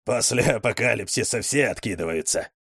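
A man's voice: fairly low pitch, animated, a little fast, vocal fry, fairly bright tone, slightly rough, dry recording, studio quality, full character voice. A man voicing a wiry, tattooed, sly male ex-convict who mocks people in prison slang. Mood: wry.

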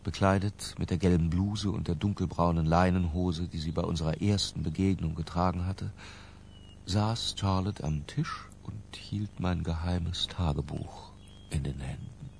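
A cultured, brooding memoirist narrates a radio drama in ornate first person. The voice is very low and the delivery measured and detached, with a faint rumble behind. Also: male voice, fairly even intonation, gravelly, a little mellow. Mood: detached, tense.

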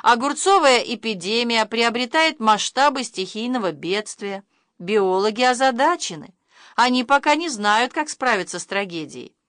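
A woman reading a storybook news bulletin as a slow, formal TV announcer. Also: fairly high pitch, expressive, fairly bright tone, no echo, studio quality. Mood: solemn.

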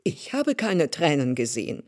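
An actress playing a commanding salon hostess in a German literary radio drama, speaking in a deliberate, questioning way. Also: female voice, low voice, very animated, a little mellow. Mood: skeptical.

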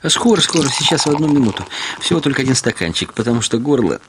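An actor playing an obsequious, needling, self-abasing husband in a Russian radio drama, halting and wheedling with hissed deferential endings. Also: male voice, medium pitch, sing-song, natural voice. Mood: servile, confiding.

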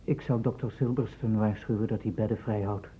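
An actor playing an earnest, concerned male investigator in a radio drama, with a husky voice and careful, formal speech. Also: lowish voice, fairly even intonation, fairly quick, warm tone, slight background noise, natural voice. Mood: earnest, thoughtful. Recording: muffled phone-like old radio recording.

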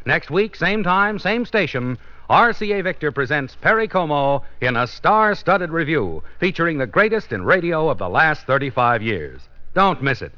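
An American male announcer from 1950s radio delivers scripted copy. His voice sits a little high and moves with lively intonation. The audio is lo-fi and dulled. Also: normal speed. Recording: dull, lo-fi 1950s radio broadcast.

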